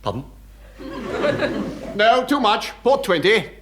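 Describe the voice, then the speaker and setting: An actor playing a languid, dryly mocking naval officer in a radio sitcom. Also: male voice, high-pitched, very animated, slow pace, heavy background noise, heavily acted. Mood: brisk, flippant. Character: languid, flippant upper-class British naval officer. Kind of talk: British radio sitcom acting.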